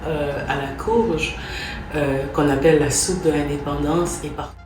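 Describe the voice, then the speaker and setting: A woman from Haiti with a very low voice speaks slowly and in a measured way as she answers interview questions. She is recorded from a distance in a reverberant room. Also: steady tone. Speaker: executive director. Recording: roomy, distant mic, music behind.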